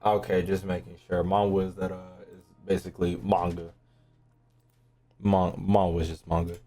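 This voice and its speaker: A man's voice: low voice, level tone, normal speed, dark tone. Slangy man streaming over game music. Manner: slangy, swearing, talking to chat. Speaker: livestreamer. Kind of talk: casual gaming stream chat. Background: video game music and sounds.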